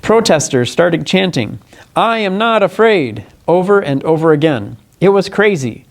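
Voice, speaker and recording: Earnest man with a higher-pitched voice. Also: animated, measured pace, vocal fry. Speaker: congressional candidate. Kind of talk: press conference statement, partly read aloud.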